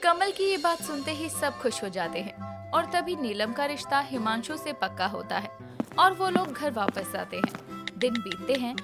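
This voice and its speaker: A woman's voice: high voice, very animated, normal speed, over music. A woman narrating a scripted story as its narrator.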